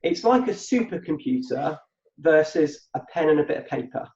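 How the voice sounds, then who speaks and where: A man's voice: on the high side, expressive, moderate pace, gravelly, a little mellow. A man co-hosting a podcast, explaining thoughtfully and repeating words as he thinks aloud. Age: adult with a past business. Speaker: podcast co-host. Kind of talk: reflective conversational explanation. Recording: muffled echoey room.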